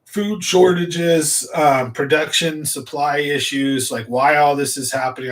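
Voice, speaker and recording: A man's voice: medium pitch, fairly bright tone. A man hosting a fishing channel, chatting casually and at length to his viewers in a rambling, easygoing way with plenty of fillers. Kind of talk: casual livestream chat to viewers.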